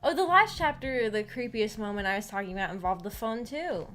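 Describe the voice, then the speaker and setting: Female streamer for a casual book club discussion: light high voice, rambling and animated, soft music underneath. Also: fairly quick. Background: background music.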